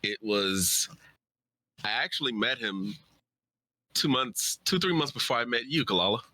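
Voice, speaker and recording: A man's voice: medium pitch, a little slow, pushed and bright, no room sound, poor audio. A man talking casually on a livestream as a co-presenter, informal and easygoing in how he speaks. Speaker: writer and streamer, co-presenter.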